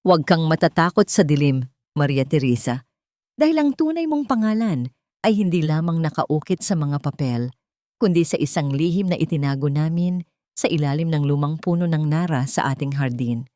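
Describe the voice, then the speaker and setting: Casting: woman for tender, grave mother in audiobook drama, low register, dry recording. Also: lively intonation, normal speed, warm tone, no room sound. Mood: solemn, urgent. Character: loving, solemn mother reading out her letter.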